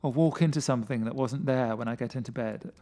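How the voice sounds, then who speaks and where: A man's voice: medium pitch, fast talker, mellow, natural voice. A man voicing a nervous, childlike, frightened man who stammers and pleads in a gothic horror story. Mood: anxious. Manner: pleading, stammering, repeats himself. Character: terrified nervous man, childlike in his fear of the dark.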